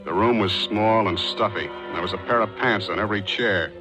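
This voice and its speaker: An American actor in a 1940s radio drama playing a cool, wisecracking private detective, dry, clipped and sardonic. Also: male voice, fairly low pitch, fairly quick, over loud music, lightly acted. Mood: dry narrating. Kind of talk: 1940s radio acting with first-person narration. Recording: old 1940s radio recording.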